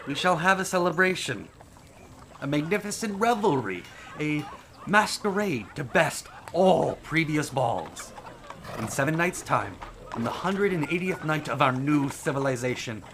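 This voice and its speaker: An actor playing a haughty, exuberant, self-deluded prince in a theatrical, declamatory audio drama style. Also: male voice, mid-range voice, a bit bright, slight background noise. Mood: commanding excitement. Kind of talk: full-cast audio drama acting.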